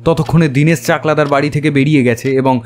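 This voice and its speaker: A man's voice: a little high, fairly even intonation, quick pace, natural voice. A man playing a detective's earnest, easily astonished companion in an audio drama, speaking in an emotive, questioning way. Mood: puzzled. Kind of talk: dramatised audio story, narration and dialogue.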